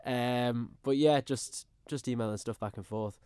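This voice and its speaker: A man's voice: mid-range voice, steady tone, measured pace, darkish tone, clear, resonant tone, dry recording. A young man with a Manx accent, a singer, chatting easily and laughing as a guest in a radio studio. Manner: laughing, easygoing. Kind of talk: casual radio interview.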